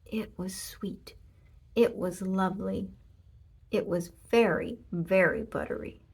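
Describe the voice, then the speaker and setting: A woman narrating a children's storybook in an expressive read-aloud style. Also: medium pitch, slow, gravelly, husky. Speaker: storybook reader.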